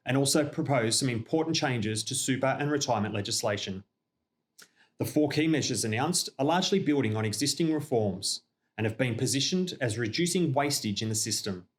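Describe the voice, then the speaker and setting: An Australian man with a mid-range voice presents a scripted corporate update. He speaks in a measured, businesslike way and explains each point as a company representative would to clients. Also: mid-range voice. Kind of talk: scripted informational narration.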